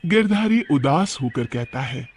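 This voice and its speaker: A man's voice: mid-range voice, expressive, measured pace. A man narrating a cartoon story in a dramatic, scripted storytelling style, on a noisy recording.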